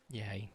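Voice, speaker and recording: A man streaming from home, chatting casually in a rambling, self-mocking way and pausing often. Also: bright, forceful tone. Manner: rambling, self-mocking, hesitant with many ums. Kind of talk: casual chat reading viewer comments while playing.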